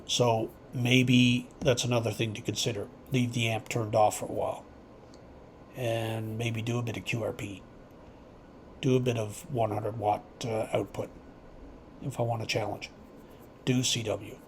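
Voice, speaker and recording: A man who hosts an amateur radio channel, talking to his subscribers in a casual, unscripted, halting and reflective way that rambles. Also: mid-range voice. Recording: clear steady background noise.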